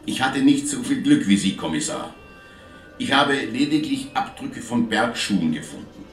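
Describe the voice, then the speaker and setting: An actor in a radio crime drama playing a courteous, talkative Viennese police inspector with a formal, measured manner. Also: male voice, medium pitch, big pitch swings, close to an everyday voice. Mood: grave, explanatory. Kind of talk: German radio play acting. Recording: roomy, distant video link sound, music.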